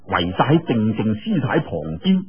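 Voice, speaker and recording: A man with a husky voice tells a martial arts story in a dramatic, colloquial style with drawn-out particles. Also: medium pitch, sing-song, a little hiss or hum. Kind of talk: animated Cantonese storytelling of a martial arts novel. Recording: dull, lo-fi radio-like audio.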